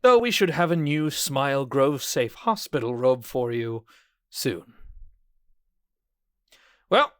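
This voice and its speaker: A man's voice: medium pitch, big pitch swings, clear, resonant tone, no room sound, clean studio sound. A man playing a smug hospital examiner in an audio drama, condescending, falsely cheerful and coldly dismissive. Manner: slow, condescending, mock-pleasant. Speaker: hospital staff examiner character.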